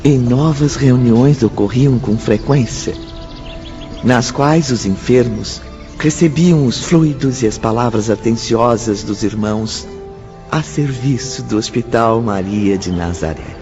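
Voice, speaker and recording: A man narrating a radio drama from a script in a formal, measured, dramatic way. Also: mid-range voice, mellow. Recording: dull lo-fi audio, music bed.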